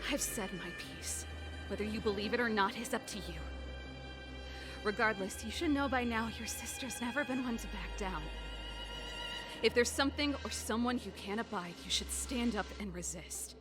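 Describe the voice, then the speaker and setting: An actress voices a principled young rebel and elder sister in a dramatic video game. Her voice is a little high, earnest and resolute with a pleading edge, and music plays clearly behind it. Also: normal speed, natural voice. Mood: defiant, resolute.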